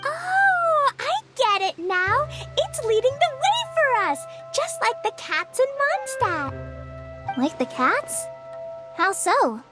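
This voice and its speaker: A woman's voice: extremely high pitch, big pitch swings, slow pace, heavily acted. A woman playing a small, kind and eager little girl in a video game, with a sweet, childlike voice. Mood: curious, innocent. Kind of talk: video game cutscene voice acting. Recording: music behind the voice.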